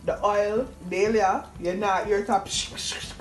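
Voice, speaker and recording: A young woman with a Jamaican accent chatting casually in Patois as a teasing, animated cooking co-host. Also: mid-range voice, slow pace, reverberant, rough recording. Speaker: cooking vlog co-host, the daughter. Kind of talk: casual kitchen chat. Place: home kitchen. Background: music.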